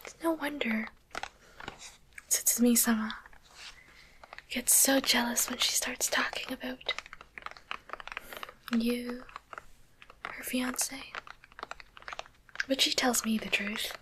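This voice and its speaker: A woman playing a softly teasing, curious demon doctor in a coaxing, deliberate whisper for an ASMR roleplay, close to the microphone. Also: on the high side, steady tone, noisy background. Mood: teasing, confiding.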